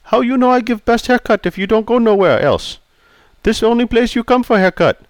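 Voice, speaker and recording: A man's voice: medium pitch, huge pitch swings, measured pace, no echo. A man voicing a prickly older male barber who speaks in clipped, broken English. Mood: gruff.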